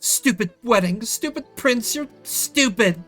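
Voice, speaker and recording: An angry teenage princess, acted out by a man, pitched high and lively. The delivery is petulant and accusing, the sound of a wronged royal daughter in a story game. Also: unhurried, faint music behind, exaggerated voice. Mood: hurt, indignant. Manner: indignant, accusing.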